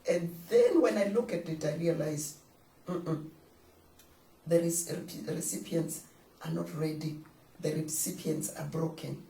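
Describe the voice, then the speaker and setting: An older South African woman, a pastor, speaking measured and formal in a studio interview, sometimes naming herself in the third person. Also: sits low, creaky, dark tone, reverberant, distant mic, rough recording.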